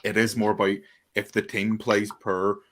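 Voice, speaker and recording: A man's voice: on the low side, little pitch movement, normal speed, a bit bright. A man with a Northern Irish accent giving his view as a guest on a casual podcast chat, earnest and full of restarts. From Northern Ireland. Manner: earnest, hesitant with many restarts.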